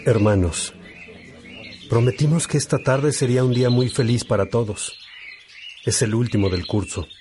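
A man's voice: medium pitch, a little mellow, a little hiss or hum, natural voice. Fatherly priest and teacher played by a man. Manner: fatherly, unhurried, addressing followers affectionately. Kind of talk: radio drama acting. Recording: birdsong ambience behind the voice.

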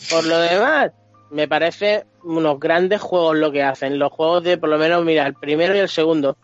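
A man's voice: fairly high pitch, steady tone, normal speed. A man with an accent from Spain chatting casually and bluntly on a video game podcast, swearing freely and speaking with emphasis. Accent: Spanish from Spain. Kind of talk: casual chat. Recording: dull lo-fi sound, music behind.

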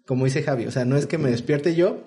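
A man's voice: fairly high pitch, fast talker. A man who hires programmers, chatting casually on a panel and explaining with everyday examples. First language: Spanish. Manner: relaxed, explains with examples, many fillers. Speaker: tech interviewer on a panel.

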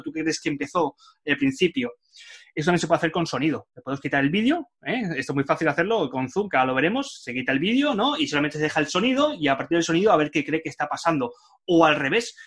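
A man's voice: on the high side, a bit bright, breathy edge. A man hosting an online discussion among language teachers, explaining in a loose, chatty way with lots of tag questions. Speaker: host of a teachers' discussion group. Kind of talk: casual online meeting talk. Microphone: video call.

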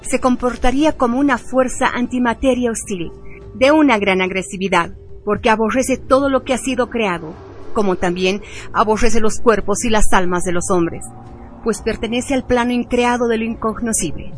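A woman's voice: a little high, moderate pace. Solemn female narrator with music behind. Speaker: radio show narrator. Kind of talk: scripted narration, documentary reading.

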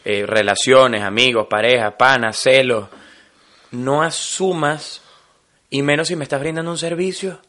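Un hombre con acento venezolano, copresentador de un podcast, que conversa en tono informal, bromista y lleno de jerga. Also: male voice, medium pitch, measured pace. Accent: Venezuelan Spanish. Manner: joking, animated, full of slang. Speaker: podcast co-host. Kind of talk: casual chat.

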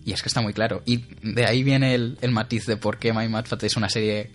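A man's voice: on the low side, level tone, a little fast, faint music behind. A young man, co-hosting a casual podcast chat, rambling with many restarts. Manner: rambling, with frequent restarts and laughter. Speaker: podcast co-host.

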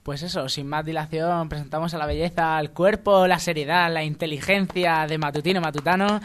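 A man's voice: on the high side, fairly even intonation, brisk, a bit bright. A man co-hosting a radio show with casual, ironic comic banter. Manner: joking, ironic.

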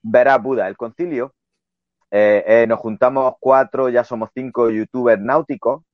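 Man, low-pitched, relaxed rambling talk, dull lo-fi audio, dry. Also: moderate pace, dry recording. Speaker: guest, sailing youtuber. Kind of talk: casual video interview. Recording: dull lo-fi sound.

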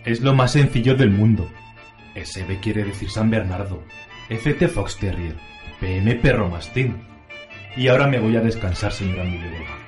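An actor playing a cheerful, simple-seeming but sly antihero, matter-of-fact and comic, in a radio play. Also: male voice, fairly low pitch, normal speed, darkish tone, close to an everyday voice. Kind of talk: comic radio theatre acting. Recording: roomy echo with music behind.

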